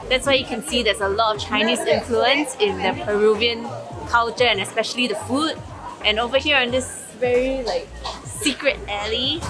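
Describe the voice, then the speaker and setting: Cheerful Singaporean woman tasting food. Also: a little high. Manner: chatty, enthusiastic tasting remarks with frequent laughs. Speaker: travel vlogger. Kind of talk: casual food vlog commentary. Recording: music bed over the voice.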